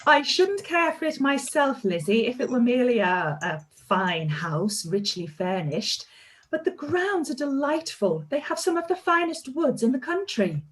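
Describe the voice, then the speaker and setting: A warm, gushing aunt, voiced by an actress, her voice high and very lively in its pitch. She talks expressively in a live-read radio play. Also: moderate pace. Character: warm middle-aged aunt, fond of home.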